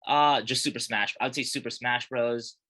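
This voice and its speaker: Laid-back young man, bright forceful voice. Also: medium pitch, moderate pace. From Korea-born, raised in Colorado. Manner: relaxed, rambling, many fillers and restarts. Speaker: guest, fighter and gym manager. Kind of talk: casual interview chat. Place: small office at a gym.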